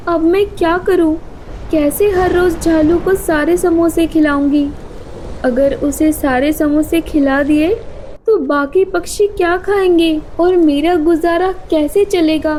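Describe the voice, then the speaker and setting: A woman's voice: extremely high pitch, steady tone, slow, warm tone, a little hiss or hum. A woman voicing a timid, humble female bird street seller in a children's cartoon, earnest and meek. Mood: worried. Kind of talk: Hindi children's cartoon voice acting.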